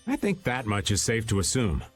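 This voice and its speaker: A man's voice: on the low side, wildly swooping pitch, a little fast, faint music behind. A man playing an easygoing but wary male adventurer in a video game, with wry, folksy phrasing. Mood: pondering. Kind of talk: video game cutscene voice acting.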